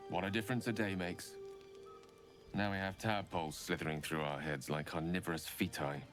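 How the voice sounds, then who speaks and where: Deep-voiced, eloquent wizard. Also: male voice, steady tone, fairly bright tone, faint music behind. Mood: musing, wry. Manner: eloquent, reflective, deliberate phrasing. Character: erudite, wry male wizard, musing and theatrical. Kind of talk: fantasy video game acting.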